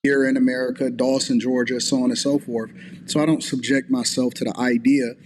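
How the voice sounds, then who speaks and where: A man in a played clip giving measured, articulate political commentary. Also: mid-range voice, flat delivery, a little mellow.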